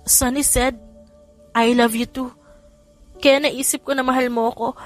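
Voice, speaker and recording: A woman's voice: high-pitched, level tone, measured pace, pitched above the performer's own voice. A woman voicing a shy, emotional young woman in a romance audiobook, with music behind. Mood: tearful, tender. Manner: stammering, tearful.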